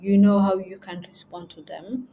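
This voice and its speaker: A young woman, a guest in an interview, talking in a halting way with many fillers and restarts. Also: mid-range voice, fairly even intonation, dark tone, slight background noise. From Somali region. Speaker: guest, chief editor. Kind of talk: interview conversation.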